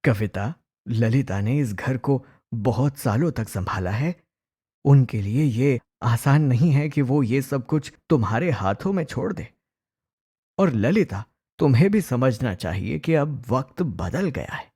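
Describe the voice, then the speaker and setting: A man as a firm, slow-speaking older patriarch. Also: mid-range voice, lively intonation, mellow, husky. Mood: reasoning. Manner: firm, admonishing. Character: firm, fair-minded elderly father-in-law, family patriarch. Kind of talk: Hindi animated family drama acting.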